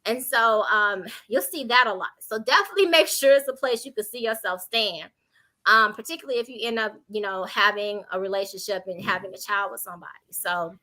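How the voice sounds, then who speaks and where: An American woman giving candid conversational advice on a panel, animated and informal, illustrating points with anecdotes. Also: medium pitch, brisk, low-quality recording. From Chicago, United States.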